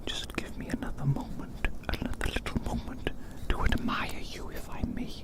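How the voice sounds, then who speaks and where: Whispering, gloating giant in ASMR roleplay. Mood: playful, gloating. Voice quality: breathy, unvoiced whisper. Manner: repeats phrases slowly, savouring, menacingly playful. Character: gloating giant toying with tiny prey before eating it. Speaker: ASMR performer.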